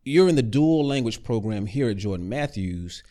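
A man's voice: medium pitch, animated, normal speed, dark tone. A man interviewing a student, asking conversational, sometimes playful questions.

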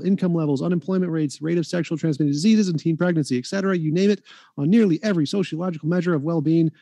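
A man in a heated live debate, talking insistently and fast, often cutting in over the other speaker. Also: a little high, dark tone, no echo. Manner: insistent, rapid-fire, talks over others.

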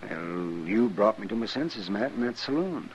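An actor playing a weary, conscientious frontier doctor in a 1950s western radio drama, with a gravelly, halting, folksy delivery. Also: male voice, on the low side, lively intonation. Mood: remorseful. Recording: muffled, lightly hissy old radio recording.

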